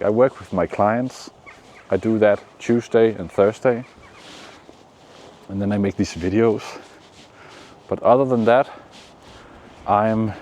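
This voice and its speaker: A man from Denmark with a Danish accent, giving calm, encouraging motivational talk outdoors in the shade, with frequent pauses. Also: lowish voice, a little hiss or hum. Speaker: manifestation coach. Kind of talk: casual motivational vlog talk.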